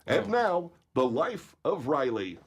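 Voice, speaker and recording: A man's voice: a little high, big pitch swings, music in the background. Formal male radio announcer. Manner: formal, measured.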